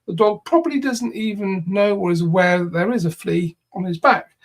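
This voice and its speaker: A man's voice: high voice, moderate pace. A man channeling a male spirit guide who speaks formally as "we" and calls his co-host "my dear". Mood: calm, explanatory.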